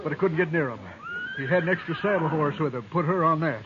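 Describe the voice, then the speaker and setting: An actor playing a blunt, matter-of-fact stagecoach driver in an old radio western. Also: male voice, a little high, quick pace, lightly acted. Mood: urgent. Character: plain-spoken male stagecoach driver, weathered. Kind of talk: 1950s radio drama acting. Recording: lo-fi old radio with crowd murmur.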